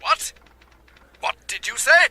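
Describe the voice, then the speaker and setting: An actor playing an officious, curt and pedantic examining judge in a radio drama. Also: male voice, slow pace, bright, forceful tone, no room sound. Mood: peevish, demanding. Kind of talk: British radio drama acting.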